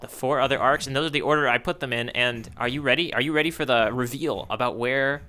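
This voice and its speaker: A man's voice: medium pitch, pushed and bright. A man co-hosting a podcast, enthusiastic and joking in casual banter. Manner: enthusiastic, quick to joke, cheers and exclaims. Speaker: podcast co-host.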